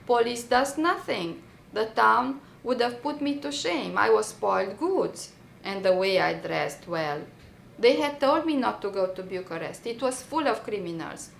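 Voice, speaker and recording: A woman with a Romanian accent reads her personal story as a dramatic monologue, theatrical and deliberate, with pointed pauses and bitter irony. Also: a little high, vocal fry, a bit bright, reverberant, a little hiss or hum. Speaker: writer reading her own memoir.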